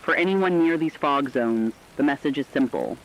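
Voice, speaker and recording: A man's voice: mid-range voice, expressive, normal speed, close to an everyday voice. A man playing a solemn, formal news anchor giving emphatic warnings in a scripted emergency radio drama. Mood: solemn, urgent. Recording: dull lo-fi radio sound, rainy noise.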